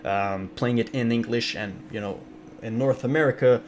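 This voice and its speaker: A man's voice: medium pitch, moderate pace, a little hiss or hum. A young man with a French Canadian accent, a streamer from Ontario whose first language is French, chatting casually with viewers in a rambling way full of fillers. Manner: rambling, many fillers and restarts, reads chat aloud. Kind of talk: casual live-stream chat.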